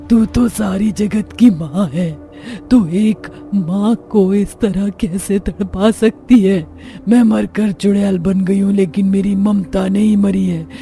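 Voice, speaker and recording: Witch mother, female actor, cartoon horror: slow, very mellow low-lit tone, plaintive and devoted. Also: vocal fry. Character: sorrowful, devoted dead mother turned witch. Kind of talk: Hindi animated horror moral story acting.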